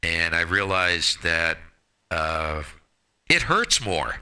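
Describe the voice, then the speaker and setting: Bright, low-voiced man of about sixty. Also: sing-song, slow, clean tone. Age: 59, about to turn sixty. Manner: jokey, self-deprecating, slangy. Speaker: amateur radio operator. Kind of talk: casual radio chat with friends.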